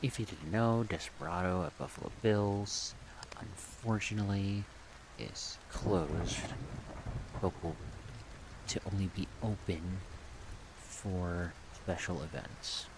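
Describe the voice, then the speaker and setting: Low, slow, soft-spoken man. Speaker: ASMR creator rambling about a project. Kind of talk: soft-spoken casual ASMR ramble. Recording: steady rain-like background noise.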